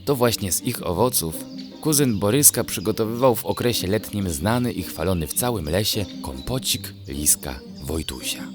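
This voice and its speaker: A man gently narrating a scripted children's bedtime story in a measured, descriptive way. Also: on the low side, animated. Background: music.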